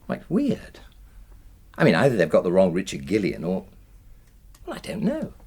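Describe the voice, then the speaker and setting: A man playing a genial, mildly bewildered middle-aged English publisher and husband in a naturalistic radio drama, with a husky voice. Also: low voice, wildly swooping pitch, moderate pace, a little mellow, full character voice. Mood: bewildered, insistent.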